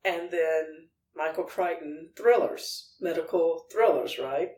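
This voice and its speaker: A woman's voice: deep voice, slow pace, a little mellow. A woman interviewing conversationally on a podcast, with short questions and prompts. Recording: echoey, distant mic, faint noise.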